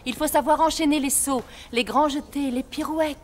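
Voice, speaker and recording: A woman's voice: sits high, steady tone, moderate pace, a little hiss or hum, natural voice. A woman playing a kindly, encouraging ballet teacher in a cartoon, giving instruction over music. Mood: instructing. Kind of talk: dubbed cartoon acting. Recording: music behind the voice.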